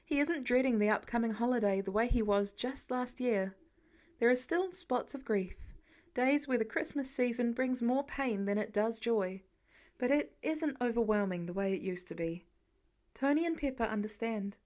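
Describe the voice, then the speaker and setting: A woman's voice: on the high side, moderate pace, darkish tone. A woman reading a scripted story aloud as an audiobook narrator.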